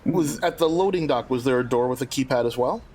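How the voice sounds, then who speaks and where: A man's voice: medium pitch, fast, darkish tone, breathy edge. A man playing a tabletop role-playing game, talking casually as he plans with the group. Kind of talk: casual collaborative planning talk.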